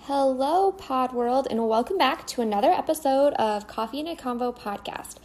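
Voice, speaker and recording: A woman's voice: high-pitched, expressive, moderate pace, a little mellow. A young woman hosting a podcast, chatting in an upbeat, casual way with fillers. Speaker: podcast host. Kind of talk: casual chat.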